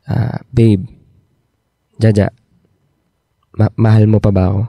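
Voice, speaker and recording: A man's voice: fairly low pitch, flat delivery, slow pace, gravelly, mellow, dry recording. A man acting an earnest, insecure young boyfriend in a Filipino radio drama, pressing for commitment. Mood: subdued, pleading.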